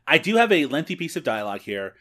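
A man's voice: a little high, very animated, quick pace, pushed and bright. A Canadian man co-hosting a podcast, recapping a movie in casual chat and laughing often. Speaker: podcast co-host.

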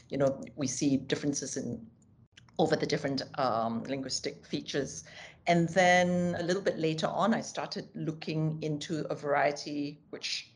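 A woman's voice: low voice, darkish tone. A woman from Singapore, explaining academic ideas in a conversational way, thinking aloud with many fillers and self-corrections. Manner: occasional light laughs. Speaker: linguistics scholar, podcast guest.

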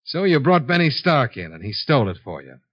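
An actor playing a shrewd, unhurried insurance investigator in a 1950s radio drama, with a dry, matter-of-fact delivery. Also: male voice, medium pitch, sing-song. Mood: coolly accusing. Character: cool, shrewd male insurance investigator, hard-boiled and unhurried. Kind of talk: 1950s radio detective acting. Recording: dull 1950s radio broadcast recording.

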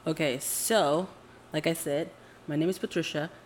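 A woman's voice: low-pitched, steady tone, normal speed, a little mellow, a little hiss or hum. A woman in her late twenties from Indonesia, co-hosting a podcast in an informal, agreeable, slightly hedging conversational manner. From Jakarta, Indonesia. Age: late 20s. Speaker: podcast co-host. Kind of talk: casual chat.

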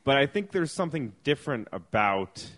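A man hosts a relaxed podcast, tossing off wry jokes and wandering through his points with plenty of ums and restarts. His voice sits in an ordinary middle register. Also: medium pitch, brisk. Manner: joking, rambling, filler-heavy. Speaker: podcast host. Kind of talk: casual podcast chat.

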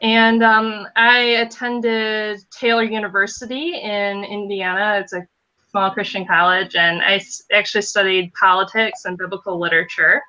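Podcast guest, 30s, nasal upper Midwestern accent, crisp bright voice, slightly muffled remote audio. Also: female voice, medium pitch, fairly even intonation, moderate pace. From Western Michigan. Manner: chatty, self-deprecating, laughs between phrases. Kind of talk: casual interview chat.

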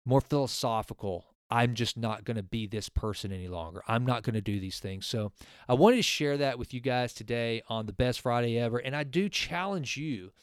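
A man's voice: medium pitch, moderate pace, smooth, dry recording, studio quality. Earnest rambling podcast host in his forties. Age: 40s. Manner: earnest, rambling, frequent restarts and fillers. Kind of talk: casual solo podcast talk with a sponsor read.

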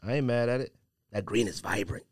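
A man's voice: medium pitch, fairly quick, dry recording, studio quality. A man bantering casually on a podcast, teasing and trash-talking in loose slang. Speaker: podcast co-host. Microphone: close studio podcast mic.

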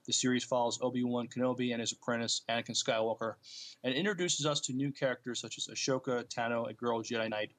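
A man co-hosting a podcast, reading out notes and chatting casually with a halting, hesitant delivery. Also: medium pitch, a bit bright. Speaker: podcast co-host.

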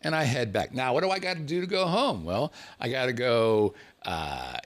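A middle-aged man from the United States spins a comic yarn about his own past, swearing freely and chuckling along the way. His pitch swoops up and down, giving the story an animated, lively feel. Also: moderate pace. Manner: animated, profane, laughs through his story.

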